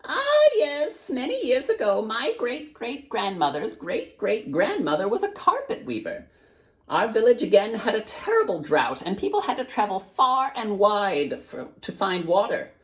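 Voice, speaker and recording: A woman voicing a blind old grandmother, gravelly and secretive, telling family lore in a drawn-out, teasing way. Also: on the high side, wildly swooping pitch, pitched above the performer's own voice. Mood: reminiscing.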